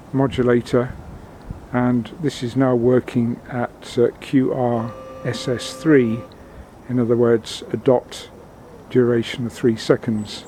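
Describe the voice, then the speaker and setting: Guy talking through a technical demo, matter of fact, mid pitch, noisy location sound. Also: warm tone. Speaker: amateur radio experimenter. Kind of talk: unscripted demonstration commentary. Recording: noisy location sound, vehicle-like background rumble.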